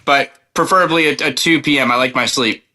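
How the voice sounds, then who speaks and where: Country singer guy, really bright punchy voice, answering questions casually. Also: medium pitch, a little fast. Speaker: interview guest, country singer.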